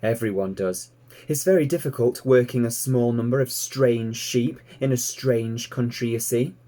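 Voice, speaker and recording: A man voicing a kindly, knowing mother sheepdog who explains things gently in a storybook reading. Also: medium pitch, normal speed, natural voice.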